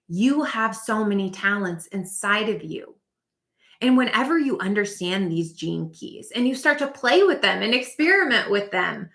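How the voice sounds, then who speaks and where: Upbeat chatty lady on a livestream, kinda high voice, echoey room. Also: sharp tone. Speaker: spiritual business coach. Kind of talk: casual livestream teaching. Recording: roomy echo.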